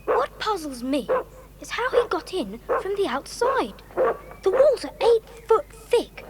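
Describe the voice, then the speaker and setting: Well-spoken boy leader, children's audio drama. High, animated, earnest and polite. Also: unhurried, exaggerated voice. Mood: puzzled, earnest. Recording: clear background noise with birdsong.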